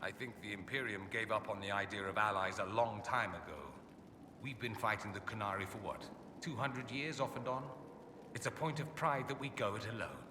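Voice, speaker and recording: An actor playing a witty, haughty mage from a fantasy empire, speaking with suave, theatrically arch sarcasm in a video game. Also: male voice, lowish voice, brisk, far from the mic, close to an everyday voice. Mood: wry disdain. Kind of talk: video game character dialogue. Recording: echoey hall, clear background ambience noise.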